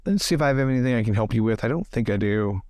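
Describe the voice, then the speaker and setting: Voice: mid-range voice, lively intonation, racing, a little mellow, no echo. A tabletop game player in casual, joking table chat.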